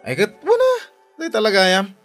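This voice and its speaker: An actor playing a boozy, excuse-making husband in an Ilocano radio drama, drawling between mumbles and exclamations. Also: male voice, high voice, huge pitch swings, a little fast, music in the background, full character voice. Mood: boisterous.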